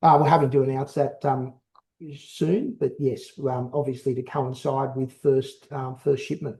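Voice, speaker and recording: A man speaks as a company executive on an investor call. His voice is mellow and low in edge, at a middling pitch. He explains things in measured, halting phrases, often repeating a word before moving on. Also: fairly even intonation. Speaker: company executive answering analyst questions. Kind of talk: investor call question and answer.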